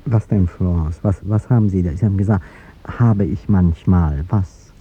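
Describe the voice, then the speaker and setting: An older man acting in a 1950s German radio drama as a gentle, aging president in love, speaking haltingly and courteously. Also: sits low, husky. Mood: flustered, insistent. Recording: muffled 1950s radio recording, faint noise.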